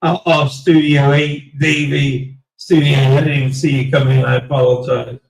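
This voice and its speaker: A man closing out his live stream in a rambling, halting way, his voice going raspy. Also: medium pitch, little pitch movement, reverberant, far from the mic, low-quality recording. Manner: rambling, slow and halting with many fillers. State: voice getting raspy. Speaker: livestream host. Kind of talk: casual live stream sign-off.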